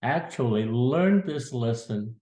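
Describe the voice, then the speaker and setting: A man's voice: mid-range voice, lively intonation, slow pace, darkish tone. A man in his eighties telling a family story from his youth, plain-spoken and earnest. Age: 80s. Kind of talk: casual spoken memoir. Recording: echoey room.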